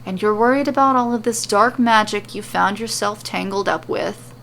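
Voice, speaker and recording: A woman's voice: mid-range voice, steady tone, normal speed, vocal fry, a bit bright, a little hiss or hum. A woman voicing an earnest, uneasy male paladin in an audio story, hesitant and worried. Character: earnest, uneasy male paladin, a deep-voiced good man.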